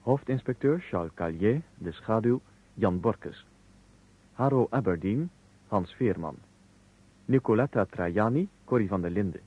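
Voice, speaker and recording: Husky male announcer of old radio. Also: fairly low pitch, animated, a little slow. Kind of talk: formal scripted announcement. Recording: dull old radio broadcast recording.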